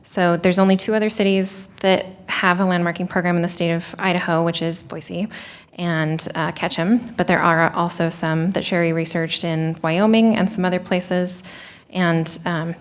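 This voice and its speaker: Soft-spoken woman presenting at a meeting. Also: fairly low pitch, fairly even intonation, clear, resonant tone. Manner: measured, explanatory, with frequent ums. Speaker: city staff presenting to a commission. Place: city council chambers.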